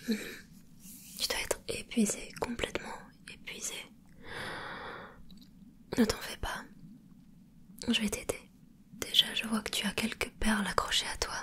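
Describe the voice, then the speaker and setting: A woman voicing a gentle, caring mermaid in an ASMR roleplay, whispering softly and reassuringly with a curious, playful touch. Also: medium pitch. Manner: soft whispering, slow and soothing. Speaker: ASMR creator.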